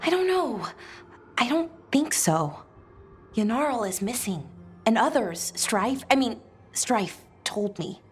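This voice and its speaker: An anxious, halting young elf heroine. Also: female voice, a little high, big pitch swings. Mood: anxious, guilty. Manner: halting, hesitant, repeats herself. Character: anxious, earnest, self-blaming young elf woman adventurer. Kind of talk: video game voice acting. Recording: game music behind the voice.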